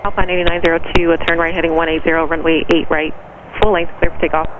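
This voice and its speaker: High-voiced male tower controller on tinny radio. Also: little pitch movement, quick pace. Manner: clipped, procedural, matter-of-fact. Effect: aviation radio transmission. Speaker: air traffic tower controller. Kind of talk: radio phraseology, clearances and instructions. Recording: tinny, noisy air traffic radio.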